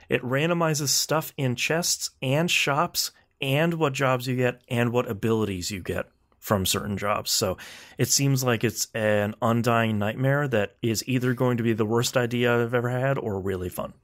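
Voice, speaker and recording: A man's voice: mid-range voice, fairly even intonation, a little slow, fairly bright tone. Man chatting casually as a gaming podcast host. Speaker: video game podcast host. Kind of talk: casual podcast chat about video games.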